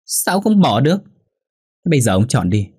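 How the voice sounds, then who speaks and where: Spiteful, bossy wife for an audio drama, played by a man: shrill, pushed-up pitch, scornful delivery. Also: sing-song, moderate pace, a little mellow, slightly rough. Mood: scornful, demanding. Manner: hissing, shrieking, scornful. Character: shrill, spiteful, domineering middle-aged wife.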